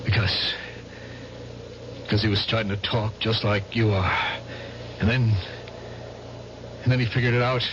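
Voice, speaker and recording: A man's voice: on the low side, steady tone, measured pace, long pauses, close to an everyday voice. An actor playing a nervous, guilty male war veteran who stammers and dodges questions, in 1970s radio drama style. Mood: evasive. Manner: evasive, stammering. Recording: dull old radio broadcast with loud hiss.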